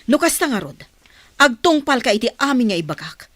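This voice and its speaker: Radio drama: bossy, spiteful mother, played by a woman, somewhat high voice, scolding, dry sound. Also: big pitch swings, brisk, a bit bright, dry recording. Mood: stern, commanding. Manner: scolding, commanding. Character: harsh, domineering mother who resents her adopted son. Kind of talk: Ilocano radio drama acting.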